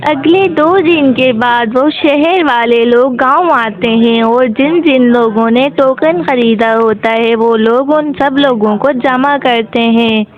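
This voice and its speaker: A woman's voice: high-pitched, takes it slowly, few pauses, narrow, tinny audio. A woman storyteller reading a scripted moral tale in simple, plain words.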